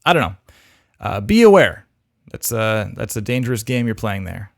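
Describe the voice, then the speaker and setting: Gravelly man giving frank critique. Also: lowish voice, moderate pace, no echo, studio quality. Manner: thinking aloud, relaxed and frank. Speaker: host reviewing demo reels. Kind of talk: casual critique commentary.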